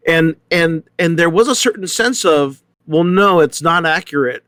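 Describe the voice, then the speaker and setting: Man, discussion host, slightly high voice, halting and thoughtful, dry close sound. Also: fairly bright tone, smooth, no echo. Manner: halting, repeats words while thinking. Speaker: host moderating a panel discussion.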